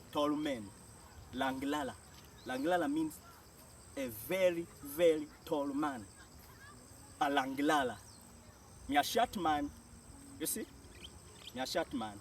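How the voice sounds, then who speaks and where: Jamaican man teaching, slightly high voice, very lively pitch, recorded from a distance. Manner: says each phrase then translates it, often repeating. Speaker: language teacher. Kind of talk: slow spoken language lesson. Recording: distant mic with faint outdoor noise.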